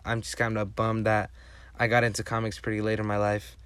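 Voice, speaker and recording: A man's voice: lowish voice, monotone, brisk, a bit bright, smooth, no room sound. A man whose first language is Spanish, hosting a casual solo podcast and rambling with enthusiasm through many restarts. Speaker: podcast host.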